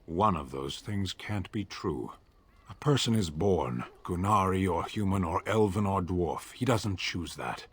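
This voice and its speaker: A man's voice: low-pitched, unhurried, breathy edge, full character voice. An actor voicing a stern, towering male warrior of a giant race in a video game, speaking in blunt, literal pronouncements. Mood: solemn. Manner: blunt, flat, matter-of-fact pronouncements. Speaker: game companion.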